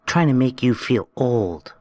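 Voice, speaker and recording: A woman voicing a small, loyal, cheeky male servant with a lowered, gruff, firm delivery. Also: animated, unhurried, a little mellow, no echo. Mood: grumbling. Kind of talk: storybook reading.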